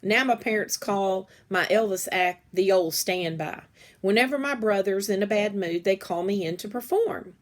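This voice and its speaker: A woman reads a children's book aloud at a medium pitch. Her delivery is expressive, in the style of storytime narration. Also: normal speed. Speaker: read-aloud reader.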